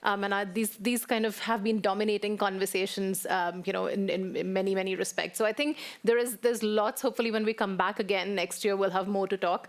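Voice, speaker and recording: A woman moderating a conference panel, brisk and organizing. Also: mid-range voice, fairly even intonation.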